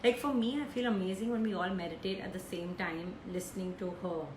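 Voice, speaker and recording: A woman's voice: medium pitch, steady tone, fairly quick, a little mellow. A woman hosting a live stream, chatting casually and effusively over a roomy, hissy call connection.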